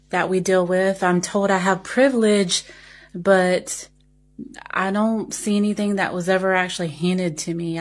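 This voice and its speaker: A woman with a Southern American accent and a slight speech impediment, talking earnestly and carefully in a reflective personal way. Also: fairly low pitch. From Southern United States. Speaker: social media video responder. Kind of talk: reflective personal talk to camera.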